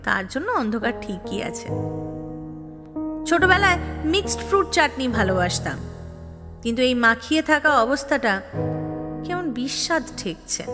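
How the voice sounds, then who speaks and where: A woman acting a proud, restless young woman in love who narrates her own scheming story in an expressive, confiding, exasperated way. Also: high-pitched, moderate pace, pauses often. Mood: brooding, resolute. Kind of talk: dramatic first-person audio story narration. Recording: long reverb, music behind. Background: music.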